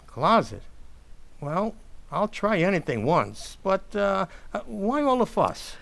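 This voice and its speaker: Woman playing an excitable, grumbling working-class husband. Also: medium pitch, slow pace, creaky, full character voice. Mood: puzzled. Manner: folksy, ungrammatical, blustering. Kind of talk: old-time radio comedy acting.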